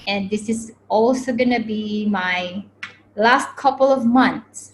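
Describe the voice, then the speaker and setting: A woman's voice: medium pitch, slow, a little hiss or hum, poor audio. A woman from the Philippines in her forties, leading an online workshop for teachers over a video call in an upbeat way, often saying "okay". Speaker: webinar presenter.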